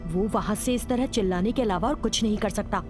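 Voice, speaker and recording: A woman voicing a devoted, protective she-devil wife in a cartoon story, earnest and expressive. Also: medium pitch, brisk, music in the background, close to an everyday voice. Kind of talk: Hindi cartoon story voice acting.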